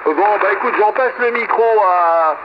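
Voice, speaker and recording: A man's voice: sits high, normal speed. A man talking casually as a ham radio operator, rambling with many fillers and restarts as he passes the mic around. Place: home radio station. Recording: lo-fi shortwave radio with static.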